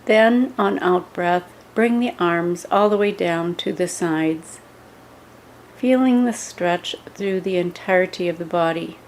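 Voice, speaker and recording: Slow-paced woman guiding a meditation. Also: on the low side, a little hiss or hum, low-quality recording. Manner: measured, instructional, with pauses between short phrases. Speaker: meditation and yoga instructor. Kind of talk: scripted guided meditation instruction.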